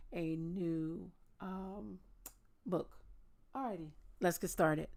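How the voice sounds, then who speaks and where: Slow-paced, lower-pitched woman reading scripture. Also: a little mellow. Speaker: host of a daily Bible reading. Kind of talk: reading scripture aloud with casual asides.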